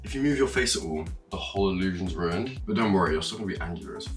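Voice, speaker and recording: Young male vlogger from Norway, quick and animated, casual and cheeky, mic a little far off. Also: sits low, music in the background. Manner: chatty and animated, swearing and laughing while explaining. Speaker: makeup vlogger. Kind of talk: casual tutorial chat.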